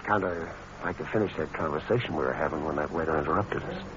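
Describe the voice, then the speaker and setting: An American actor playing a smooth-talking, scheming portrait painter with a hard edge in a 1940s radio drama. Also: male voice, very deep voice, quick pace, a bit bright. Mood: smooth, flirtatious. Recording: muffled, noisy old radio recording.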